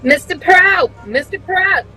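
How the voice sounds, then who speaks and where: A young woman playing an eager young male driver in a radio mystery reading, blunt and excitable. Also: very high-pitched, slow pace, faint music behind, heavily acted, low-quality recording. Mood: excited. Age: young adult. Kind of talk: live reading of old-time radio mystery script.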